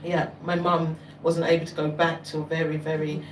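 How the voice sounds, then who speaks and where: A woman's voice: low voice, little pitch movement, moderate pace. A woman on a panel, speaking thoughtfully and steadily in an open discussion. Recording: echoey room, distant mic, noisy.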